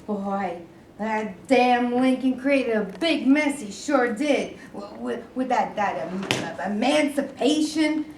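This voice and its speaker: Woman playing a high-voiced, hostile teenage soldier boy. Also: takes it slowly, darkish tone. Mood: griping. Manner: griping, aggressive, folksy slangy talk. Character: hostile bigoted teenage boy, Confederate private. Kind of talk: amateur staged play reading. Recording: roomy, distant, faint background noise.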